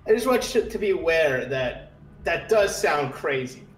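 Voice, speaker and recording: A man's voice: fairly high pitch, expressive, moderate pace, crowd noise. A man improvising as a player in a tabletop roleplaying game, hesitant and repeating his words. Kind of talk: improvised in-character chat and narration. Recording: echoey room, music behind.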